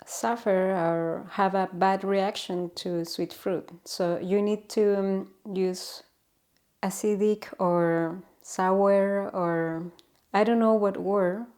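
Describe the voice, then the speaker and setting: Chilean woman, non-native English, slow and soft-toned, hesitant host explaining calmly. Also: mid-range voice. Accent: Chilean Spanish. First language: Spanish. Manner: hesitant, searching for English words with many fillers. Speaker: channel host. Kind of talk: informal explanatory talk to camera.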